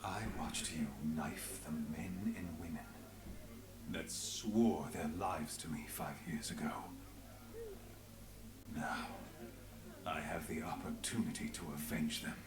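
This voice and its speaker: An actor playing a grave, world-weary resistance leader in a fantasy game, speaking in measured lines. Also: male voice, a bass voice, fairly even intonation, echoey room, a crowd in the background. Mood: grave, bitter. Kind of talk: dramatic fantasy game voice acting.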